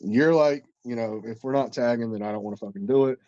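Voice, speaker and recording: A man's voice: medium pitch, fast talker, warm tone, dry recording, muffled recording. Congested man rambling on a podcast. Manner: rambling, profane, with frequent restarts. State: congested, stuffed-up nose. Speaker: podcast co-host. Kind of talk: casual podcast chat.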